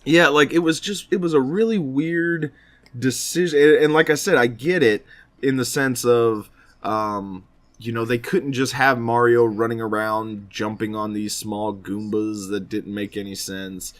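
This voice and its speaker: A man co-hosting a podcast in casual chat about films, speaking in an animated, opinionated way with frequent swearing. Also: mid-range voice, measured pace. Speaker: podcast co-host.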